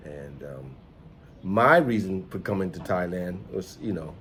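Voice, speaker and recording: A middle-aged American man hosts a casual vlog in a deep voice. He talks in a rambling, reflective way. There is slight noise behind him. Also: animated, unhurried, a bit bright. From United States, New York City. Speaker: host, entrepreneur and musician. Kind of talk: casual conversational vlog chat.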